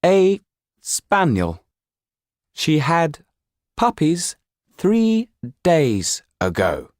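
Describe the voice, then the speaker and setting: A man's voice: on the high side, huge pitch swings, drawn-out words, no room sound, clean studio sound, voice pushed up, full character voice. A man voicing an eerie fortune-telling wooden puppet that pronounces like an oracle. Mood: matter-of-fact.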